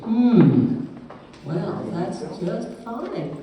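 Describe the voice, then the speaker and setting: A woman's voice: mid-range voice, very animated, drawn-out words, highs cut off. A woman performing a cheerful, chatty, fashion-minded schoolchild in a live puppet show, musing aloud in a theatrical sing-song manner. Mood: scheming, upbeat. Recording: echoey, noisy hall from far off.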